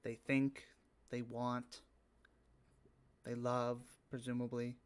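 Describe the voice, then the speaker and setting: A man runs a tabletop game in a very unhurried voice. He sets out the rules flatly and teases the players in a deadpan way. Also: mid-range voice. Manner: slow, deadpan, dry teasing. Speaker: game master.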